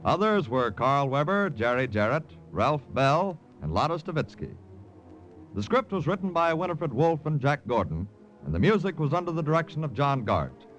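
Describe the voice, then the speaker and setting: Animated American man, vintage radio announcer. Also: fairly high pitch, normal speed, soft background music, rough recording. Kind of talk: 1950s radio announcing, scripted credits.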